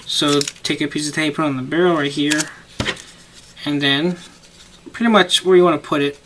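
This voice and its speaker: A man's voice: fairly high pitch, steady tone, normal speed. A man walking through a paper craft step by step, rambling and breaking off his own sentences as he works. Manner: rambling, talks while working, self-interrupting. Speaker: paper-craft tutorial maker. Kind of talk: casual hands-on tutorial. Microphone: camera mic. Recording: noisy home camera audio with clicks.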